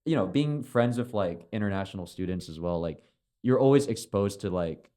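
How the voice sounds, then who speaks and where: A man's voice: fairly low pitch, animated, moderate pace, dark tone. A man whose first language is Chinese, a filmmaker chatting casually as a podcast guest, rambling with lots of fillers and casual swearing. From China.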